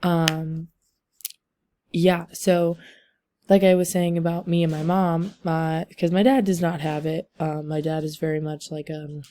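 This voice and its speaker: Hoarse young woman giving a halting class talk. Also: deep voice, flat delivery, mellow. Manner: halting, many ums and likes. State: losing her voice. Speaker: student presenter. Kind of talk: class presentation over slides.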